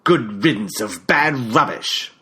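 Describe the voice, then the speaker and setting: A man's voice: medium pitch, lively intonation, bright, forceful tone, slightly rough, full character voice. A man putting on a pompous older British artist. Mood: wounded. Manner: pompous, indignant, drawn-out emphasis. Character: stern older male artist, wounded and scornful. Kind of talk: audiobook character voice.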